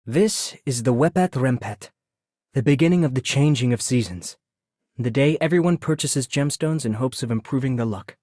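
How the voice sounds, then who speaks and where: An actor playing a polite, composed gentleman merchant in a video game, courteous and measured. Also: mid-range voice, dry recording, clean studio sound, lightly acted. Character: polite, composed gem-selling gentleman, quietly modest. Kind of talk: video game character voice line.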